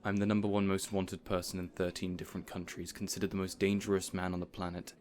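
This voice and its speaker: A man playing a cold, menacing supervillain in an audio roleplay, speaking in a measured, formal, quietly threatening way. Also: low-pitched, flat delivery, close to an everyday voice. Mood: cold menace.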